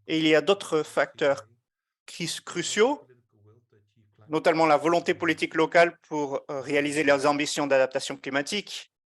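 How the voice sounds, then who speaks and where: A man giving a live interpretation of an online meeting, measured and often hesitating as he keeps up with the speakers. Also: a little high, fairly even intonation. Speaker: simultaneous interpreter.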